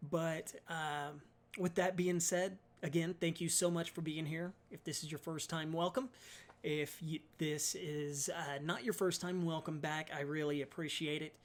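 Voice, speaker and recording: A chatty man walks viewers through building tabletop miniatures, his voice a touch higher than most men's. Allergies leave him slightly raspy and softer than usual. He fills his sentences with ums and uhs. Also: fairly even intonation, measured pace. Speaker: miniatures hobby YouTuber. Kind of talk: casual hobby tutorial.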